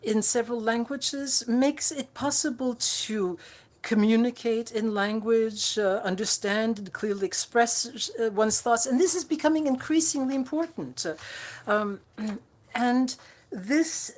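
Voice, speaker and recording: A woman interpreting a conference speech live, halting often with fillers and restarts. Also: a little high. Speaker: simultaneous interpreter.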